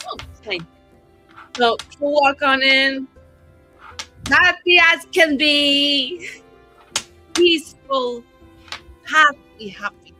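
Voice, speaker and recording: A woman's voice: sits high, very slow, long pauses, bright, forceful tone, faint music behind, pitched above the performer's own voice, full character voice. A woman playing a cheeky, catty young woman in a tabletop game, with casual, sarcastic delivery. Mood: smug cheer. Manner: casual, filler-laden, sarcastic. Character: cheeky, catty young woman, falsely sweet, needling a rival.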